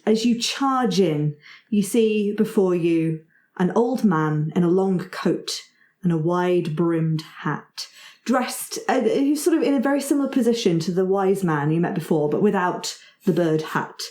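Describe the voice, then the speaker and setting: A woman's voice: on the low side, expressive, measured pace, darkish tone. A woman narrating a tabletop roleplaying game as game master, in a casual, improvised way. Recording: roomy, echoing sound.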